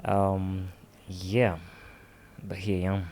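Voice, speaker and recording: Man, twenties, guest; very deep, slow, hesitant delivery. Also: monotone, darkish tone, a little hiss or hum. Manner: slow, hesitant, with many fillers. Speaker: podcast guest. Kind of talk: casual interview chat.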